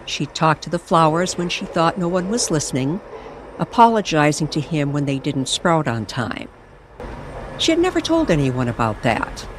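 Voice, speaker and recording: A woman narrating an eerie, nostalgic story as a storyteller, in a measured and dramatic manner. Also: deep voice, big pitch swings, background noise. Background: music under the voice.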